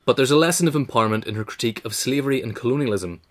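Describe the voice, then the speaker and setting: A man reading a written biographical essay in a measured, formal way. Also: mid-range voice. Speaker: narrator, reader. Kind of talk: scripted narration of a biographical essay.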